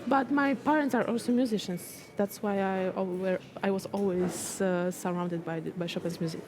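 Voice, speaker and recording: A composed young woman from Poland with a Polish accent. Her voice sits at a mid pitch. A crowd murmurs behind her. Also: moderate pace. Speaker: pianist guest.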